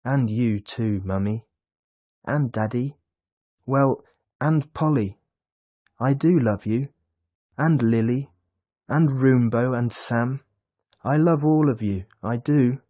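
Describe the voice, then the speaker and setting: An excitable little boy from a children's storybook, acted out by a man. The voice is lifted high and pushed louder, breathless and sweetly gushing. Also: takes it slowly, few pauses, husky, exaggerated voice. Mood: loving, happy. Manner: breathless, exclaiming.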